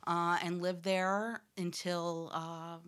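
A woman in her thirties speaks in a slightly low, accent-free American voice shaped by radio training. She talks easily and openly, laughing often as she tells her story. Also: flat delivery, slow pace, fairly bright tone. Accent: none, neutral American. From Louisiana, raised in upstate New York. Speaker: audio journalist guest. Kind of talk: casual interview conversation.

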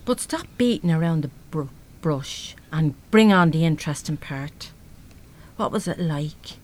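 A woman's voice: sits low, lively intonation, unhurried, a little mellow. A woman with an Irish accent playing a battered but defiant working-class mother in a live radio play. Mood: low-key, prying. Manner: blunt, salty, plain-spoken.

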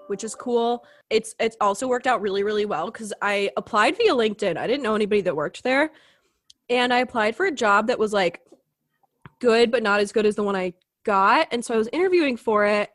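A young woman co-hosting a podcast in casual chat, her voice a little raspy as she recovers from illness. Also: mid-range voice. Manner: chatty, upbeat, lots of "like". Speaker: podcast co-host.

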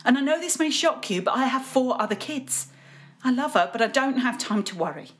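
Actress as worn-out mum: dismissive, exasperated, lively intonation, radio drama. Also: female voice, sits high, brisk. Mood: exasperated, weary. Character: weary, dismissive, exasperated mother of five.

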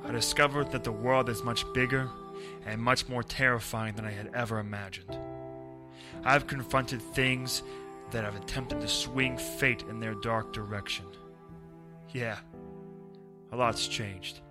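Male actor as noir audio drama detective: haunted, determined, medium pitch, music underneath. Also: steady tone, a little slow, long pauses, close to an everyday voice. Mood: brooding.

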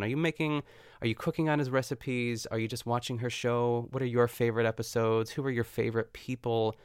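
A man hosting his own podcast, talking chattily and effusively with a smooth, soothing voice. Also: mid-range voice, dry recording, clean studio sound. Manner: chatty and effusive, lots of fillers. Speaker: podcast host. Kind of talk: casual solo podcast chat.

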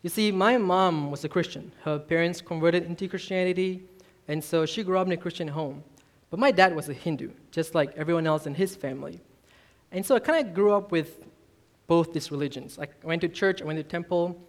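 A man's voice: on the high side, a little fast. A man in his twenties from Malaysia, a youth minister preaching a personal testimony sermon in an earnest, self-deprecating way. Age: 20s.

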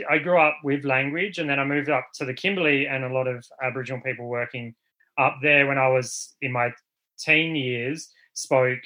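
A man's voice: medium pitch, sharp tone, poor audio. A man from Australia speaking as an artist guest in a casual panel talk, thoughtful and given to fillers and restarts.

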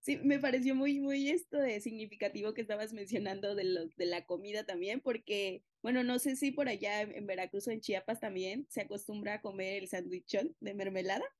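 A woman from Yucatán with a Mexican accent, chatting in a lively way and asking the others questions. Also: medium pitch, brisk, hardly any pauses. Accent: Mexican Spanish. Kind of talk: casual chat.

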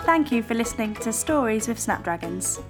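A woman reading a children's storybook expressively and deliberately. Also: on the high side, expressive, loud music behind the voice.

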